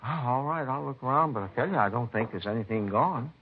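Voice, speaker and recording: An actor playing an upset, reluctant pawn shop witness in a vintage radio crime drama, agitated in manner. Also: male voice, medium pitch, animated, quick pace, lightly acted. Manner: agitated, protesting. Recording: muffled, faintly noisy vintage radio recording.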